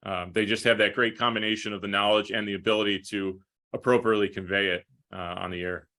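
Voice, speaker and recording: A man's voice: fairly low pitch, fairly even intonation, fast talker, sharp tone. An American man who works as a sports broadcaster, talking conversationally and at length in thoughtful, detailed interview answers. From United States, upstate New York. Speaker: hockey play-by-play broadcaster.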